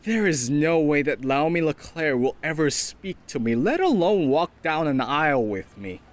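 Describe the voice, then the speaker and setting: A man's voice: on the high side, very animated, normal speed. A man acting a grumpy, lovelorn young man in an audio drama, with a dramatic, grumbling delivery. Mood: distressed.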